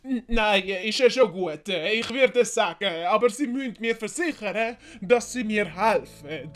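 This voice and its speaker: A woman's voice: medium pitch, expressive, soft background music, lightly acted. A woman voicing a small, embarrassed male servant who stammers and hesitates in an audio drama. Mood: nervous, hesitant. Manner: stammering, halting.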